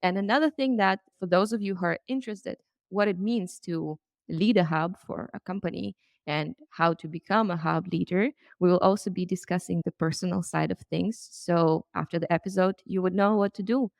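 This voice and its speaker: A woman hosts a podcast in a low voice with a gravelly edge. She sounds upbeat and welcoming. The recording is close and dry, with no room sound. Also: moderate pace, darkish tone, no room sound. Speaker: podcast host. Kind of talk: hosting a podcast interview.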